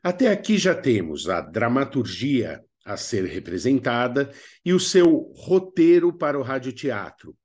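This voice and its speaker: A man's voice: medium pitch, very animated, a little slow, darkish tone. A man with a Brazilian accent presenting an instructional lesson in a didactic way, as a workshop teacher. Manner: didactic, asks rhetorical questions and answers them. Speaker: radio theatre workshop instructor.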